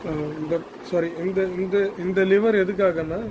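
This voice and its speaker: A man giving an unscripted product demonstration, mixing in English terms, halting and repeating words as he explains. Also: high voice, steady tone, background noise.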